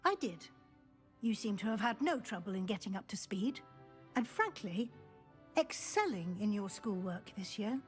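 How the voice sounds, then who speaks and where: A woman's voice: mid-range voice, big pitch swings, faint music behind, natural voice. Woman acting a stern older female magic professor. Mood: formal, probing. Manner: formal, measured, dry. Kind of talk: video game cutscene acting.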